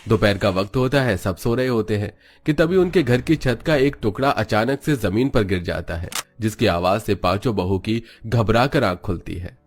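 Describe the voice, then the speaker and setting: A man reading scripted narration for an animated family story. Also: on the low side, normal speed, hardly any pauses.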